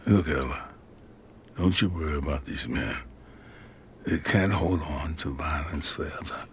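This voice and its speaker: A man's voice: rumbling low, measured pace, a bit bright, slight background noise. A man acting a weary, plainspoken middle-aged father in an audio drama. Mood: somber, confessional. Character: weary, plainspoken father, haunted by his failings.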